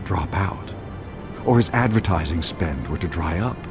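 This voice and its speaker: Pompous, theatrical corrupt mayor. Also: male voice, low-pitched, lively intonation, unhurried, over music. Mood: sly, bargaining. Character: pompous, menacing, corrupt older male city mayor. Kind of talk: video game dramatic voice acting.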